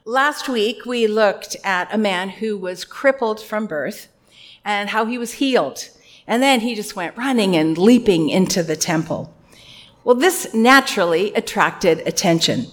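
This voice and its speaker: A woman with a loud voice preaching an earnest, conversational sermon with a few personal asides. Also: mid-range voice, animated, unhurried.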